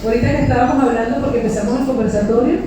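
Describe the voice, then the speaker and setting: A woman from Venezuela, informally introducing a live panel discussion as host, in a halting way with drawn-out, repeated words. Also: fairly high pitch. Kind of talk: informal spoken introduction to a live public talk. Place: indoors in a library event space. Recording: very echoey room, distant mic, faint noise.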